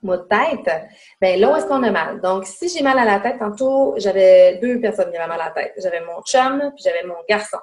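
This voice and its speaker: A middle-aged woman with a Québécois accent talks through a webinar lesson over her webcam at home. Her delivery is chatty and hands-on, stopping to show spots on herself as she goes. Her pitch sits in an ordinary middle range. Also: lively intonation, normal speed, a little mellow. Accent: Québécois French. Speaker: webinar presenter. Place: home room indoors. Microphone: webcam mic on a video call.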